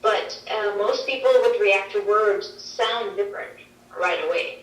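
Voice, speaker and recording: A woman whose first language is Swedish explains linguistics on a video call, measured and full of examples. Her voice comes from a wall screen across the room, distant and echoey. The pitch sits in a middle range. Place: played through a wall screen in a dim room. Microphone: video call heard over speakers. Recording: roomy, distant playback from a screen.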